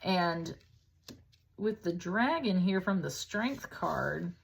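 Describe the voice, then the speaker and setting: A woman's voice: lowish voice, lively intonation, slow, gravelly, breathy edge. A woman giving a casual, conversational tarot reading in an informal, chatty way, as if thinking aloud. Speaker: tarot reader, host.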